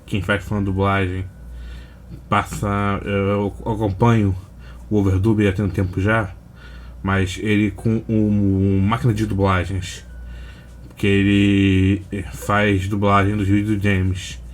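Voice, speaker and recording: Low, flat-toned Brazilian man reacting casually. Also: slow pace, a little hiss or hum. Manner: laid-back, slangy. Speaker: reaction video host.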